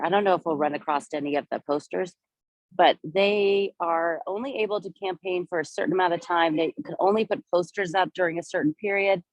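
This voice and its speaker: Hoarse woman guiding a walking tour. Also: lowish voice, dry recording, poor audio. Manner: chatty, meandering, pointing things out. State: hoarse from a cold. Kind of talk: live walking tour commentary. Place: outdoors in a city park and streets.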